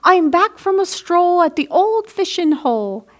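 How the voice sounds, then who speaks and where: A woman's voice: very high voice, very animated, a little slow, pitched above the performer's own voice, full character voice. A woman voicing a hearty, cheerful badger in a rhyming children's storybook. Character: hearty smiling badger back from fishing.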